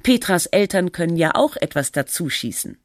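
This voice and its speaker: An actress playing a sober documentary narrator, with measured, literary, analytical delivery of a scripted text. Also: female voice, lowish voice, big pitch swings, no room sound, studio quality.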